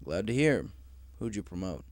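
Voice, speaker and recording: A man's voice: on the low side, sing-song, moderate pace, darkish tone, dry recording, studio quality, voice pushed down. A man voicing a serious, work-driven male pegasus factory supervisor in a story, speaking formally and with measure. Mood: approving.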